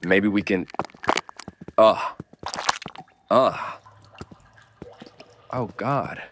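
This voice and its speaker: A man playing a gruff, world-weary survivor who takes charge in an audio horror drama. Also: on the low side, very slow, background noise. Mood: pained, panicked.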